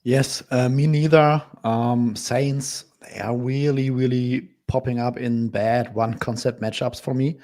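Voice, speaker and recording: A man analyzing football bets in a casual discussion, speaking in a measured way with hesitant fillers. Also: medium pitch, steady tone, warm tone. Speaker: betting analyst. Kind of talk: casual sports betting discussion.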